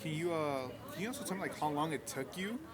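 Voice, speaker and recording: A man's voice: on the high side, animated, quick pace. A young man asking festival interview questions, hesitant and full of filler words. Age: young adult. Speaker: student interviewer. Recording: crowd noise, voice from off-mic.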